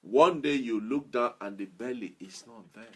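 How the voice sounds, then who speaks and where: A man's voice: medium pitch, normal speed, a bit bright, breathy edge. A man giving an earnest motivational talk as a self-improvement speaker, repeating key phrases for emphasis.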